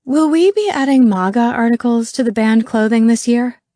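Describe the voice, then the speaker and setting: A woman's voice: mid-range voice, sing-song, moderate pace, no echo, clean studio sound, natural voice. A woman voicing a stern, exasperated female school principal who barks commands in an audiobook. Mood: firm, weary. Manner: barking, commanding.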